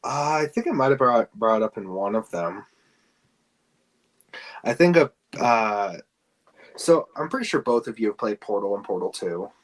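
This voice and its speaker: A man's voice: fairly low pitch, sing-song, moderate pace, long pauses. A man co-hosting a podcast in casual chat, often hesitating as he searches for words. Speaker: podcast co-host. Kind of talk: casual podcast chat about fan casting.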